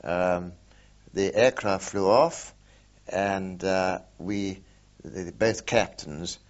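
An older man, a war veteran, recalling events in an oral history interview, hesitant with many pauses and fillers. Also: low-pitched. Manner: hesitant, many ums and uhs, measured. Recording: dull lo-fi recording.

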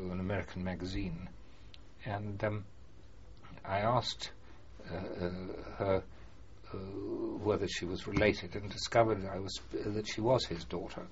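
An older man being interviewed about his past, speaking formally and hesitantly with many pauses and fillers. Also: on the low side. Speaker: former prisoner of war, interviewee. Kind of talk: oral history interview. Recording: muffled archive recording with faint hiss.

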